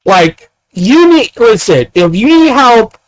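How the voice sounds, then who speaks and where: A man's voice: sits high, sing-song, slow, poor audio. A man in his thirties giving ranting commentary, firing off repeated rhetorical questions. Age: 30s. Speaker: commentator reacting to clips.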